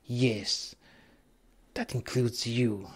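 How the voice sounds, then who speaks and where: A man voicing a firm, measured triad gangster in a spoken crime story. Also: medium pitch, steady tone, darkish tone, voice pushed down. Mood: quietly firm.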